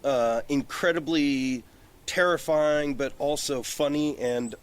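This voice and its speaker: A man's voice: fairly high pitch, slow, clean tone. A man talking casually and emphatically as a podcast guest, opinionated, prone to digressions and swearing. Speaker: podcast guest, film director. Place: outdoors on a porch.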